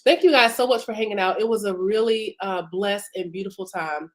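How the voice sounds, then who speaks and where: A woman's voice: medium pitch, fairly quick. A woman business coach hosting a live broadcast, chatting informally with many fillers while congested from a cough and sore throat. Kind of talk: casual live-stream teaching.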